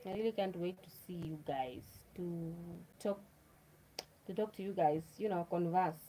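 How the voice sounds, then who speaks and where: Kenyan woman, Luo speaker, livestream host, soft dark tone, rambling chatty delivery. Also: on the low side, measured pace, slight background noise, poor audio. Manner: chatty, repeats herself, talks straight to viewers. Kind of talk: casual livestream chat.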